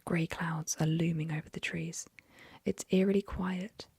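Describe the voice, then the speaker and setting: A young woman whispering an ASMR story reading into a close mic, softly, with gentle fillers. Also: lowish voice, fairly even intonation, unhurried, creaky, breathy edge, no echo. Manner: soft whisper, chatty with fillers after the story.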